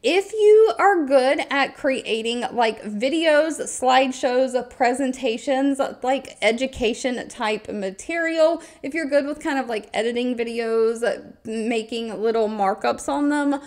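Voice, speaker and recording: A woman's voice: high voice, unhurried, hardly any pauses. A woman hosting an online video, reading through listings in an upbeat, conversational, emphatic way, part scripted and part informal. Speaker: video host presenting remote job listings. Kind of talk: informal scripted screen-recording narration.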